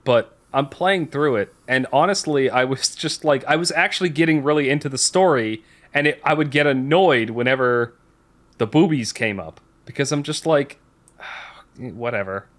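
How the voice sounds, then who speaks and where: A man's voice: mid-range voice, moderate pace, a bit bright. Rambling man chatting casually on a podcast. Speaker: podcast host.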